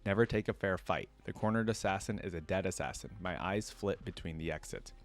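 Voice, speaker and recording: A man's voice: low voice, a little fast. A man hosting a reaction video, reading a story aloud and adding casual, conversational fan commentary.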